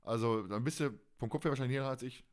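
A man's voice: mid-range voice, rapid-fire. A man hosting a podcast in casual, colloquial, jokey chat. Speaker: podcast host.

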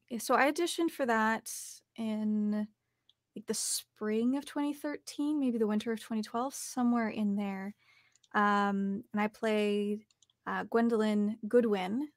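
A woman's voice: mid-range voice, dry recording, clean studio sound. A young adult woman with a Canadian accent, chatting casually as an interview guest. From Calgary, Canada. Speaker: interview guest, actor and voice artist. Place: small home studio, video call.

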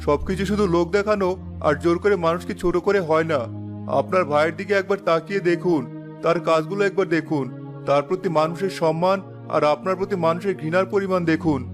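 A man's voice: high-pitched, steady tone, fast talker, natural voice. A man playing a righteous village cleric in a moral cartoon, preachy and admonishing. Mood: scolding. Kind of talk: Bengali moral cartoon dialogue. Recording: faint music behind the voice.

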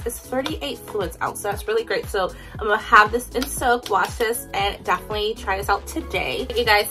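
A woman's voice: fairly high pitch, sharp tone. A young woman in her twenties talking casually through a shopping haul at home, upbeat and chatty with plenty of ums and likes. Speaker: vlogger. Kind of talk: casual product haul chat. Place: indoors in a home room.

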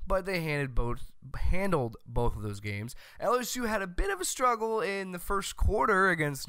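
An American man delivers comedic sports commentary straight to the listener. His voice is bright and forceful, recorded dry and close on a desk microphone. He comes across as loud and opinionated. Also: on the high side, clear, resonant tone, no room sound. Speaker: sports show host. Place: small home room, indoors. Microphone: close desk microphone on boom arm.

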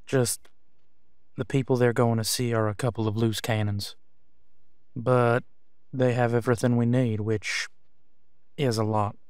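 A man playing a teasing, dominant werewolf pack leader in an audio drama, with an affectionate, low-key manner. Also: level tone, unhurried, long pauses, a little mellow, clear, resonant tone, no echo, clean studio sound, natural voice. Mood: calm, businesslike. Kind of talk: roleplay audio drama acting.

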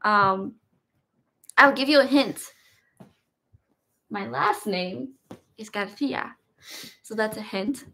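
Teasing young woman with a gravelly edge. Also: medium pitch, slow pace, long pauses, sharp tone. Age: young adult. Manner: teasing, laughs often. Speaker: vlogger. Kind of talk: casual chat. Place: bedroom, indoors.

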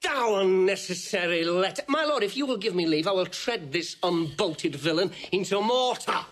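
Blunt older nobleman, high male voice, hot-tempered, declamatory, railing, Shakespearean audio drama. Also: expressive, normal speed, a bit bright. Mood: scornful. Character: blunt, hot-tempered grey-bearded loyal nobleman in disguise, brawler.

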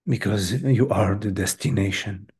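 A man leading a guided meditation as a teacher, speaking gently and hesitantly in short phrases with long pauses between them. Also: lowish voice, husky. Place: live online group session.

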